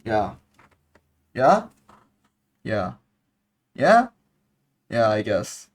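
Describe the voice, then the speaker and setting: A man's voice: fairly low pitch, sing-song, drawn-out words. A man giving casual gameplay commentary, thinking aloud hesitantly and repeating himself. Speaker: gaming streamer.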